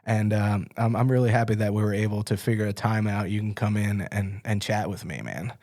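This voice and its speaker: A man's voice: lowish voice, a little fast, a little mellow, no echo, clean studio sound. A man hosting a podcast in casual interview chat, informal and slangy, often repeating his words. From United States, from Philadelphia area. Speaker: podcast host and chef.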